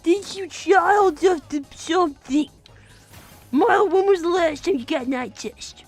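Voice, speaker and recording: Woman voicing a very high, bratty little boy. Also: a little slow, soft background music. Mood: matter-of-fact. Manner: blunt, demanding, melodramatic. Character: bratty, dramatic five-year-old cartoon hedgehog boy Milo. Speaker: livestream co-host in character. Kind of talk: improvised character comedy livestream.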